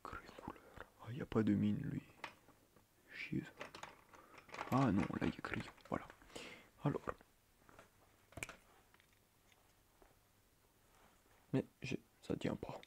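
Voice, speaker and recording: A man playing a fussy, flustered schoolteacher in a soft-spoken ASMR roleplay, hesitant, breathy and stiffly formal, often muttering near a whisper. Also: on the low side, normal speed, long pauses. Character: fussy, flustered male schoolteacher on first day.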